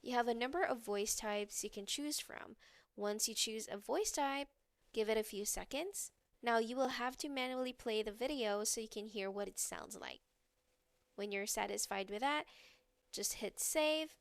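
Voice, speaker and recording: A woman's voice: a little high, normal speed, dry recording. A woman reading a scripted how-to narration, giving step-by-step instructions as a tutorial narrator.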